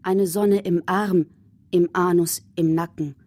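A woman performing measured, stark poetry in a radio play as a sombre witness of a city at war. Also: lowish voice, steady tone, a little mellow, no room sound.

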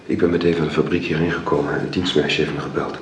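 Actor as very deep-voiced, anguished husband. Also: male voice, level tone. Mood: urgent, worried. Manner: halting, pleading, repeats himself. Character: anguished husband and father of a dying wife. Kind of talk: radio drama acting. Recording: roomy echo, faint background noise.

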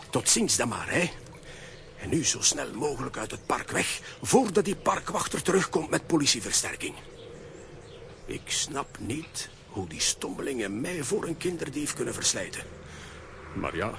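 An actor playing a bumbling, kindly, easily flustered cartoon uncle in a children's audio drama, exclaiming and muttering to himself. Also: male voice, medium pitch, vocal fry, background noise.

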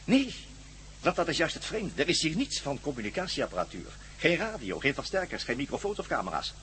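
An actor playing a cruel, gloating captor in a radio drama, with a taunting, mock-polite and deliberate delivery. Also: male voice, fairly high pitch, big pitch swings, fairly bright tone, slight background noise. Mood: smugly explaining.

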